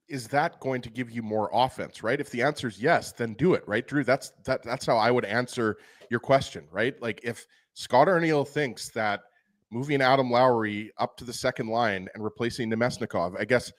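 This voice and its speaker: A man's voice: medium pitch, moderate pace. A man co-hosting a hockey talk show, speaking emphatically and addressing his co-hosts directly with "right?". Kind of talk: casual sports talk.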